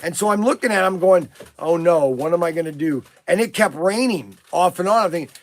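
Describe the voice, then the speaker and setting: A man hosting a casual live podcast, hoarse from losing his voice, rambling through a story with many fillers and restarts. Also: high voice, animated, fairly quick. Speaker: host of a toy business live podcast.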